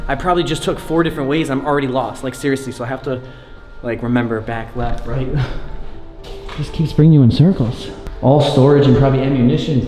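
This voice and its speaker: A young man in his twenties talking excitedly to a handheld camera as he explores an abandoned sea fort, thinking aloud. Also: mid-range voice. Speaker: urban explorer vlogger. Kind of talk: casual handheld vlog narration. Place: inside an abandoned sea fort, concrete corridors and rooftop.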